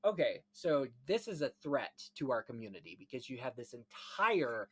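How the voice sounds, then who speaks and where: A man's voice: medium pitch, very animated, moderate pace, breathy edge. Enthusiastic male film-history podcast host. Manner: enthusiastic, rambling, detailed explanations. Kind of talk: casual film history discussion.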